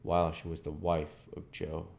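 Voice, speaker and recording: A man with a very low, dark and mellow voice reads as an audiobook narrator. His delivery is measured and literary, with a soft-edged tone. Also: completely flat delivery, creaky. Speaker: audiobook reader, channel host. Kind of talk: scripted narration of a classic novel.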